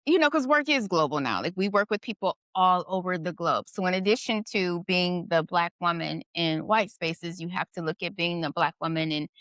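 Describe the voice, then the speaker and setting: A woman's voice: on the low side, normal speed, few pauses, a bit bright, no echo. A middle-aged woman hosting a casual conversation, thoughtful and questioning, often adding "you know" and "right". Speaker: podcast host.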